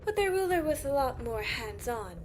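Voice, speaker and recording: A woman's voice: high-pitched, big pitch swings, normal speed, a little mellow, slight background noise. A woman playing a haughty, ancient siren empress in a fantasy audio drama, with a regal, disdainful delivery. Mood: cool, commanding. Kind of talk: fantasy audio roleplay acting.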